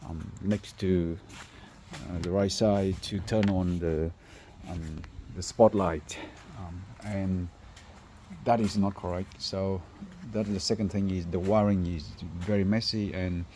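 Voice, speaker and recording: A man who is not a native English speaker, presenting a car installation job in an informal, halting walkthrough. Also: deep voice, steady tone, a little mellow, slight background noise. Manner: halting, hesitant phrasing. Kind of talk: informal workshop walkthrough video.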